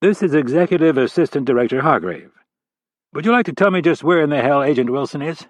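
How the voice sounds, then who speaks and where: A man's voice: fairly high pitch, expressive, quick pace, no room sound, voice pushed up. A man voicing a stern, intimidating male FBI executive who barks orders at a junior agent. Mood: stern, threatening. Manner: barking, intimidating.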